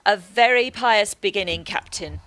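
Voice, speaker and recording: A woman's voice: mid-range voice, moderate pace, a bit bright, close to an everyday voice. A woman acting a stern, superior archbishop in a radio play, with a haughty, lecturing manner. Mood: disdainful. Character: stern, pious, superior archbishop, scolding and dignified. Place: actor's home, recorded remotely.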